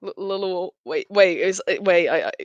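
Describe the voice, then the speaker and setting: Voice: medium pitch, moderate pace, dry recording, dull audio. A podcast panelist bantering casually in short, slangy interjections.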